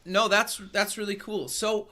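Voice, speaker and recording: A man's voice: sits high, flat delivery, unhurried, bright, forceful tone. A man hosting a radio and TV interview show, reading a scripted intro and then asking questions in an enthusiastic, hedging way. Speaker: host.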